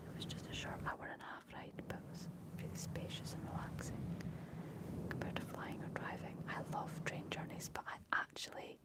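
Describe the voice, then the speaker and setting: Whispering Scottish woman, ASMR streamer. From Scotland. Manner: speaks entirely in a whisper. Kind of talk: whispered ASMR, reading and answering chat.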